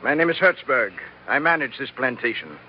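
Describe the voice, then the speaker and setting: An actor playing a coldly menacing plantation manager, clipped and formal, in 1940s radio drama style. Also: male voice, mid-range voice, animated, normal speed, lightly acted. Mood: icy menace. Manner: clipped, formal, veiled threats. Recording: muffled, noisy old radio broadcast.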